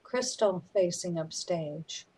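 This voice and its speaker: A woman reads stage directions in a husky, mid-pitched voice. Her scripted narration is very slow, delivered in short phrases. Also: expressive, warm tone.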